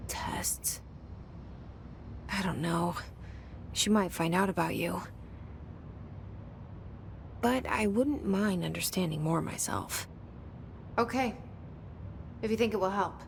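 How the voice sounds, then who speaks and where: An actress playing a guarded, determined young agency director in a video game, with an understated, dry manner. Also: female voice, medium pitch, takes it slowly, gravelly, long pauses, slight background noise. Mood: agreeable, resolved. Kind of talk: video game cutscene dialogue.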